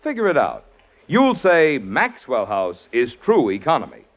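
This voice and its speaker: A man announcing a commercial and narrating for a 1940s radio show, with a smooth, persuasive sales delivery. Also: medium pitch, huge pitch swings, measured pace, lo-fi sound. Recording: scratchy 1940s radio broadcast.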